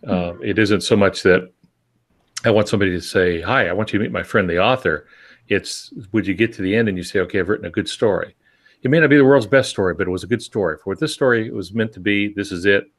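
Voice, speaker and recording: A middle-aged or older man co-hosting a podcast, explaining things in a measured, reflective, conversational way. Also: on the low side. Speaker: podcast co-host and experienced writer.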